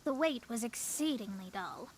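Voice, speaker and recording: A woman's voice: on the high side, animated, normal speed, slight background noise, lightly acted, low-quality recording. A woman voicing a haughty young astrologer in a video game, with pompous, formal wording. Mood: bored, dismissive.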